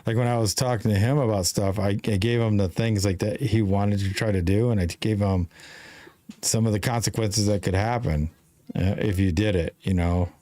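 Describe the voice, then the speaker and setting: A man in his fifties hosts a casual live chat show, wandering through his thoughts with halting restarts and fillers. His voice sits low, and the sound is dry and close. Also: fairly even intonation, dark tone, no echo. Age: 50s. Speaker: show host. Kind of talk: casual live-stream conversation.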